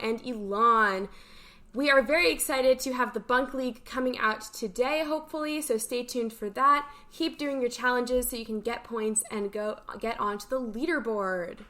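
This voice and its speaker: A woman hosting a morning show, giving upbeat announcements and shout-outs. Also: on the high side, moderate pace. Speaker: show host.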